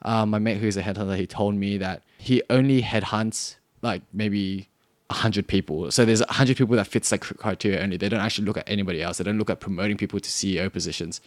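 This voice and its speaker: Young aussie guy, really low voice, dry close sound, rambles with lots of ums. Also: steady tone, fast talker, no echo. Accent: Australian. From Australia. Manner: rambling, loose, lots of fillers. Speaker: podcast co-host. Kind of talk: casual chat.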